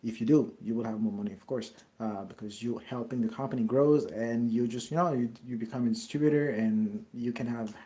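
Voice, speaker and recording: A young man from Paris speaks English with a French accent, answering follower questions in a loose, casual monologue. His voice is mellow and dark at a middle pitch, and he hesitates often, restarting phrases and filling pauses with "uh" and "um.". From France. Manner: hesitant, many fillers and restarts. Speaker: network marketing creator answering questions.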